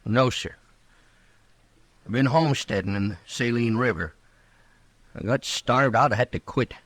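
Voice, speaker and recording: An actor playing a meek, luckless, hungry homesteader in an old radio western, humble and halting, with a rural American accent. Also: male voice, medium pitch, a bit bright, no room sound.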